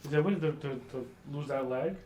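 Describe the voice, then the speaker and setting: A man's voice: mid-range voice, brisk, darkish tone. A man in workplace training asking short, casual questions to check each step. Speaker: security staff trainee. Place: indoor room at a workplace. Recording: noisy room, ambient background.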